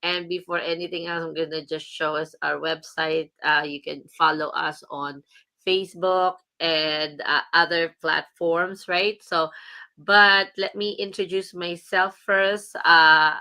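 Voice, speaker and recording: A woman's voice: fairly low pitch, fairly even intonation, measured pace, pushed and bright. A woman from the Philippines hosting a casual livestream as a business coach, chatty and upbeat, laughing often.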